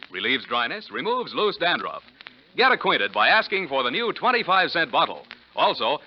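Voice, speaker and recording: A male 1940s radio announcer with a fairly high, very animated voice. His delivery is polished, scripted and emphatic like a sales pitch. The vintage recording sounds dull, with some music. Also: slight background noise.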